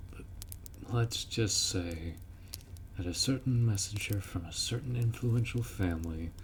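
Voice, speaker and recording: A man's voice: deep voice, a little mellow, slight background noise. A man voicing a blunt, protective orc warrior who speaks informally and firmly in a roleplay audio drama. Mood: grave, guarded. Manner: blunt, informal, firm, instructing.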